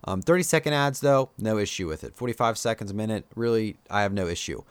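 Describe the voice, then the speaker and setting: Low-voiced rambling man with Pennsylvania Dutch accent. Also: lively intonation, fast talker, smooth, no room sound. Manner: rambling, filler-heavy, opinionated. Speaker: YouTuber. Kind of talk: casual live-stream commentary.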